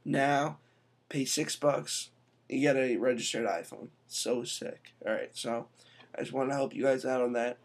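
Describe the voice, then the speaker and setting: A young man giving a casual, chatty tech tutorial, full of quick asides, recorded indoors on a laptop webcam mic. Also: medium pitch, steady tone. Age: young adult. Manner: chatty, quick asides, says "I'm telling you". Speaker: tech vlogger. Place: indoor room.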